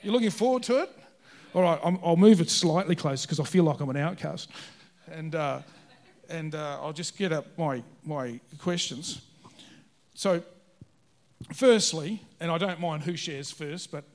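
A man with a fairly high voice leads an interview segment during a church service. He rambles and restarts his sentences as he introduces his guests. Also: unhurried, darkish tone.

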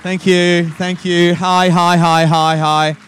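Joking Australian pastor with laughter. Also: male voice, high-pitched, level tone, takes it slowly, fairly bright tone, a little hiss or hum. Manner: playful, teasing, laughs often. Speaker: guest pastor. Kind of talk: joking sermon opening.

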